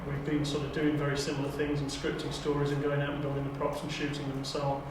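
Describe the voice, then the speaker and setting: A man's voice: mid-range voice, completely flat delivery, fast talker. A man talking casually at an audition, as an auditioning amateur film maker. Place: echoey indoor university room. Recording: very echoey room, distant mic, faint noise.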